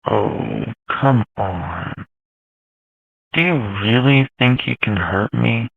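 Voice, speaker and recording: A man's voice: mid-range voice, vocal fry, no echo, phone-quality sound, heavily acted. A man voicing a cruel, amused male trickster spirit who taunts and laughs at others, in an amateur audio drama. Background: music.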